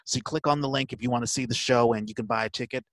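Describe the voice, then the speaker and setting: A man hosting a casual podcast chat, announcing events haltingly and repeating words for emphasis. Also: mid-range voice, fairly even intonation, noisy background. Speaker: podcast host.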